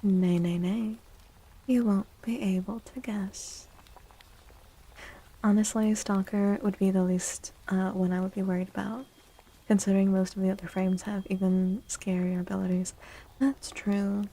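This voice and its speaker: A woman livestreaming soft-spoken ASMR chat in a playful, unhurried way, with a breathy, often whispery voice close to the mic. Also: medium pitch, flat delivery, poor audio. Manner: playful, unhurried, repeats phrases. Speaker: livestream host.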